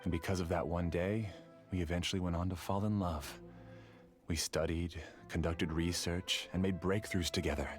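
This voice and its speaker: A man voicing an obsessive, calculating researcher in a game cutscene, courteous, measured and quietly intense. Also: sits low, steady tone, faint music behind, natural voice. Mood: calm confession.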